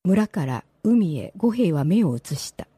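A woman's voice: lowish voice, very animated, measured pace, mellow, husky, no room sound. A woman narrating a radio drama as a scripted, dramatic story.

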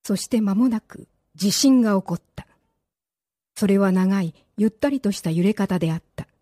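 A woman narrating a grave old village disaster tale for a radio drama in a measured, dramatic reading. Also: mid-range voice, mellow, breathy edge, dry recording, studio quality.

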